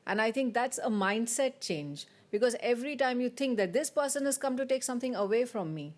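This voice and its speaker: A woman's voice: on the high side, lively intonation. Reflective woman panelist. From abroad, living in Finland. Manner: reflective, measured, thinking aloud. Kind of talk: panel discussion remarks.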